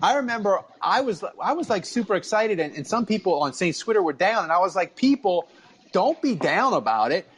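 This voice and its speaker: A man hosting a casual sports talk show, telling stories in an animated, blunt way. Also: sits high, a little fast, slightly rough. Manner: animated storytelling with blunt swearing. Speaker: sports podcast host. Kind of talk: casual sports fan chat. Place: remote group call on a live audio app.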